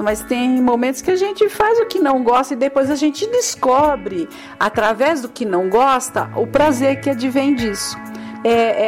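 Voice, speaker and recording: A woman in her sixties speaking in a heartfelt, expressive way as a guest in a group radio talk. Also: high voice. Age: 60s.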